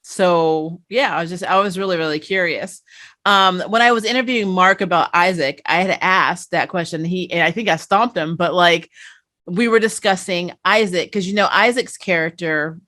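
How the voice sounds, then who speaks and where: A woman hosting a casual fan review, chatting in an animated, storytelling way. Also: lowish voice, moderate pace. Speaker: talk show host and interviewer.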